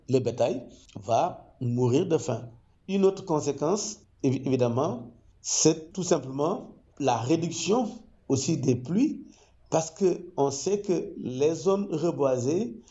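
A man who works as an ecology professor, explaining his research in a measured, careful way with frequent hesitations. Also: medium pitch, dark tone, lo-fi sound. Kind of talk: interview testimony.

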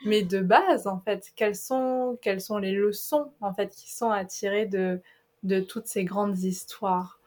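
A woman's voice: mid-range voice, steady tone, a little slow, a little mellow. A woman hosting a podcast interview, chatting casually and enthusiastically with her guest. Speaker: podcast host.

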